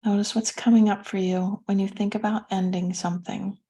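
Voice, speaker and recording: A woman leading a guided meditation as a teacher, speaking gently and soothingly with pauses between phrases. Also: medium pitch, level tone.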